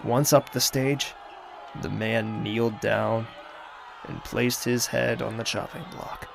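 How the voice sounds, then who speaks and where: A man playing a cold, vengeful lord in an audio drama, narrating in a measured, solemn manner. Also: medium pitch, steady tone, gravelly, music in the background, a crowd in the background. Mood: grave. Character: cold, vengeful lord commanding mercenaries, grieving his sister.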